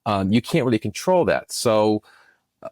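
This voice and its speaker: A man's voice: lowish voice, animated, darkish tone, no room sound. A man giving enthusiastic explanations as an interview guest, often restarting his phrases as he goes. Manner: enthusiastic, halting with repeated starts. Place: remote recording over internet software.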